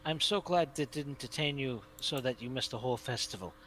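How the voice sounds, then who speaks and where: A man voicing a genteel, dutiful gentleman in a tabletop game, with formal, gracious manners. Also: medium pitch, fairly quick, slight background noise, natural voice. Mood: warmly gracious. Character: genteel, courteous, dutiful man dressed for a festival.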